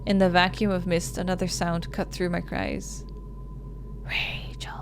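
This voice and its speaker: A woman's voice: fairly low pitch, level tone, normal speed, music in the background. A woman hosting a gaming video, reading a visual novel aloud as dramatic narration. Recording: noise behind the voice.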